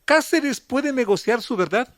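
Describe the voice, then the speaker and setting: A male radio news host, reading a measured, formal commentary and then questioning a guest. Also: very high-pitched, lively intonation, a bit bright. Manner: measured, formal delivery with emphatic phrasing.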